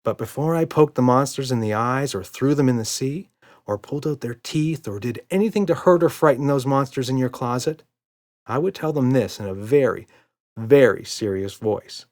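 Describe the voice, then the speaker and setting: A man's voice: medium pitch, normal speed, a little mellow, pitched above the performer's own voice, close to an everyday voice. A man voicing a patient, reassuring, playful father in a children's storybook, indulgent and mock-serious.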